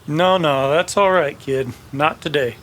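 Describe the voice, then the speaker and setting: A man playing a gruff, weary drifter in a western radio drama, sardonic and folksy in his muttered delivery. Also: on the high side, measured pace, fairly bright tone, a little hiss or hum. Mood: weary, dismissive. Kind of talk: old-time radio western acting.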